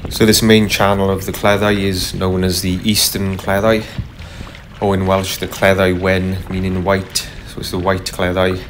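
A man narrating casually as he paddles a kayak on a river, unhurried, with frequent ums and uhs. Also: low voice, monotone, slight background noise. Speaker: kayaker vlogger. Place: kayak on a tidal river outdoors. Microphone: camera mounted on the kayak.

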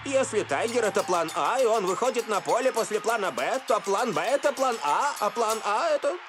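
An actor voicing an excitable, muddled male soccer commentator in a dubbed cartoon. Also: high-pitched, brisk. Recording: cartoon dub with loud music behind.

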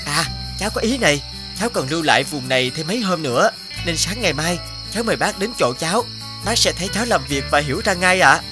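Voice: medium pitch, animated, quick pace, lightly acted. An actor voicing a proud young male painter in a cartoon dub, polite but boastful. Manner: polite, self-satisfied. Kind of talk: cartoon dubbing. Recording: music behind the voice.

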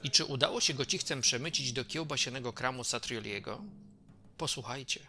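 A man's voice: medium pitch, fairly quick, heavy background noise. A man reading scripted narration for an adventure story recap.

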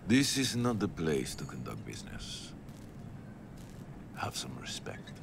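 A stern older priest in a video game, solemn and measured. The voice is extremely deep and gravelly, over clear rumbling noise. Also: male voice, huge pitch swings, exaggerated voice.

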